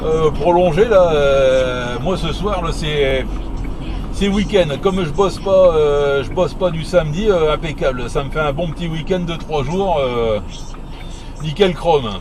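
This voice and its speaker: A man with a fairly high voice, chatting as a CB radio operator. He rambles in colloquial phrases and repeats himself. Clear vehicle noise surrounds him. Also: a little slow. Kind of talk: casual CB radio chat.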